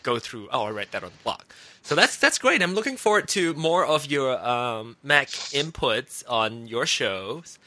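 A man from Malaysia hosting a casual podcast chat, animated and teasing, often laughing. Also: medium pitch, a little slow, no room sound. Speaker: podcast host.

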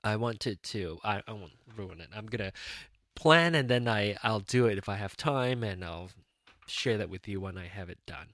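A man in his late thirties chats on his own podcast in a rambling, easygoing way, often breaking into laughter. His pitch swings widely up and down. The recording is dry and close, with no room sound. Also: lively intonation, normal speed, fairly bright tone, no echo. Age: 30s. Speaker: podcast host. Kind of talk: casual solo podcast chat.

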